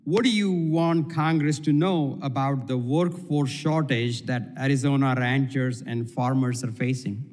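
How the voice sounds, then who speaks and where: Guy reading his questions really slowly, deliberate, echoey hearing room. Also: medium pitch, a little mellow. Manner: slow, deliberate, reads prepared remarks. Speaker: congressman. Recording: long reverb of a hearing room.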